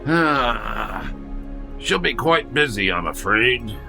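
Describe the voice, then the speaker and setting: A man playing a creaky, commanding investigator. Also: mid-range voice, animated, slow pace, bright, forceful tone, faint music behind, full character voice. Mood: smoothly authoritative. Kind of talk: audio drama acting.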